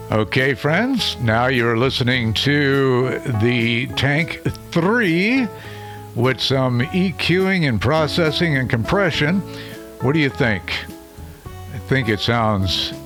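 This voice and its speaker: A deep-voiced man talks straight into a studio microphone, reviewing it casually. His pitch sits in the ordinary middle for a man. He rambles a little, with plenty of fillers. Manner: chatty, loose, with frequent fillers. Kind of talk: casual product review to camera. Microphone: studio microphone under test.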